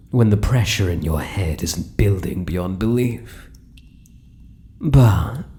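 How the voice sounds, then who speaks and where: A British man playing a possessive, sinister yet doting male spider-human monster in an intimate ASMR roleplay, crooning with soft menace and dropping into whispers. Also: on the low side. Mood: gently menacing.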